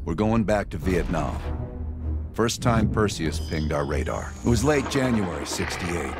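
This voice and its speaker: A wry, clipped spy boss. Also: male voice, low-pitched. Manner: dry, clipped, sardonic. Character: cool, wry male CIA operations leader. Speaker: CIA team leader. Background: film score.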